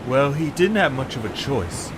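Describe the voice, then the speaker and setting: A man playing an excitable, earnest young adventurer leading a stranded group in an audio drama. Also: mid-range voice, moderate pace, natural voice. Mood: puzzled. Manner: exclamatory, animated, earnest. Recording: clear background hiss and noise.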